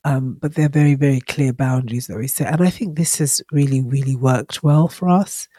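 A woman hosting her own podcast while congested from a cold, talking in a reflective, halting way with frequent ums and restarts. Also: extremely low pitch, mellow, husky, no echo, studio quality. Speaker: podcast host. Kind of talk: casual solo podcast talk.

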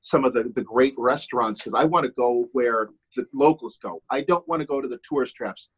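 A middle-aged man whose first language is German chats as a podcast guest. His voice is husky and a bit breathy, at a middle pitch, and he stops and restarts his sentences often. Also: fairly quick, low-quality recording. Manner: hesitant, many restarts and fillers. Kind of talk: casual podcast chat.

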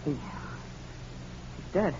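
A man's voice: slow pace, dark tone, natural voice. An actor playing an anguished, lovesick, naive young man, earnest and melodramatic, in a 1940s radio crime drama. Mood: shaken. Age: young adult. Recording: muffled, noisy old radio recording.

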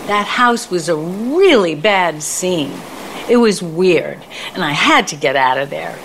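Actress as bitter sardonic ex in a radio play, very animated, bit of noise behind. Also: female voice, fairly low pitch, slow, full character voice, poor audio. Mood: dazed, wistful. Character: bitter, dramatic, vengeful ex-girlfriend, defiant and sardonic. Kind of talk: audio play acting.

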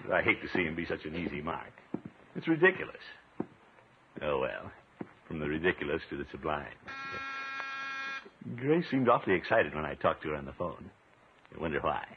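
Pompous, jovial middle-aged uncle, blustery and very animated, vintage radio comedy, tinny noisy recording. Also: male voice, a bass voice, normal speed, pauses often, exaggerated voice. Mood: fond musing. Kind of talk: 1950s radio comedy acting.

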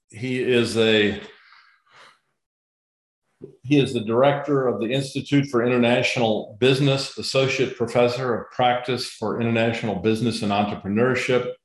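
A man hosting a podcast, reading a scripted opening and then asking a guest questions in a measured, steady way. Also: mid-range voice. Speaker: podcast host. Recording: echoey room with faint music.

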